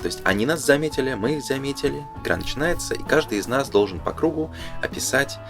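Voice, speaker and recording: A man's voice: medium pitch, animated, fast talker, creaky, music in the background. A man giving casual, unscripted explanatory commentary, thinking aloud hesitantly as he reads through a booklet. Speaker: presenter reading through a game booklet.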